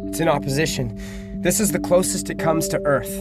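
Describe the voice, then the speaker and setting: A man's voice: fairly high pitch, fairly quick, loud music behind the voice, natural voice. An actor playing an earnest male journalist and affectionate husband who narrates a theatrical audio drama. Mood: playful, tender.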